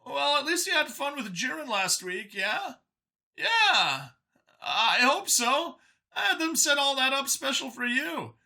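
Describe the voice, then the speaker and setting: A man voicing an anxious alien father who pleads and gushes haltingly in a strained voice, for a story. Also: sing-song, gravelly, pushed and bright, exaggerated voice. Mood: eager, awkward. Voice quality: high, strained voice. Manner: halting, hesitant, gushing and apologetic by turns.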